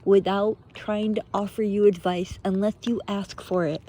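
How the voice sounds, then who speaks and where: A woman giving an earnest, unscripted spiritual pep talk in short, halting phrases, often posing rhetorical questions. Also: medium pitch, flat delivery, mellow. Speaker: motivational speaker, vlogger.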